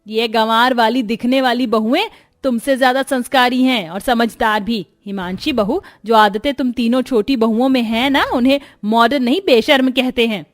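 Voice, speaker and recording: Woman voicing an older cartoon mother-in-law, stern and worried, scolding her daughters-in-law in a raised, fairly high voice, dry close sound. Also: moderate pace, no echo, faint music behind, lightly acted. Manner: scolding, pleading. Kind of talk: cartoon voice acting.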